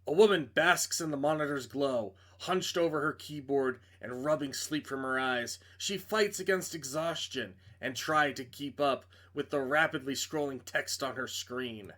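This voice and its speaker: A man's voice: medium pitch, unhurried, hardly any pauses, fairly bright tone. A man narrating a video game playthrough, reading story text aloud dramatically and dropping in casual, blunt commentary. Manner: reads narration dramatically, stumbles and breaks off for blunt asides. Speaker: game streamer doing a playthrough.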